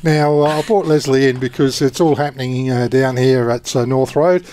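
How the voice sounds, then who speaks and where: A man's voice: mid-range voice, steady tone, moderate pace, a little mellow. A man hosting community radio, chatting casually and joking, with frequent uhs, at a charity morning tea. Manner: jokey, chuckling, hesitant with many uhs. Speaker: radio presenter. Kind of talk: casual on-air chat at a community event. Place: outdoor community event.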